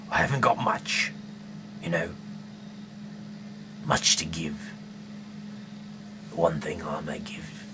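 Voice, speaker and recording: A man with a deep, often hushed voice reads scripture aloud informally, with halting, casual asides. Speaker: host reading the Bible aloud. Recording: noisy amateur recording with hum.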